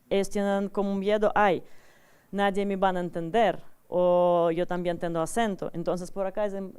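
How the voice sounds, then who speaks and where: A woman's voice: fairly low pitch, darkish tone, clean tone. Woman with a strong foreign accent lecturing. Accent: strong foreign accent in Spanish. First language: not Spanish. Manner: simple, broken Spanish with frequent "¿sí?" checks and restarts. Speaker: English teacher giving a talk.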